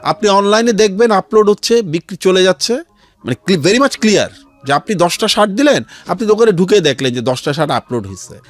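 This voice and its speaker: Male radio guest, Bangladeshi accent, lightly high-pitched and very expressive, earnest explainer who drops in English. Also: brisk. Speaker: interview guest, founder of a charity resale platform. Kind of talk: conversational storytelling in a radio interview. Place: radio studio.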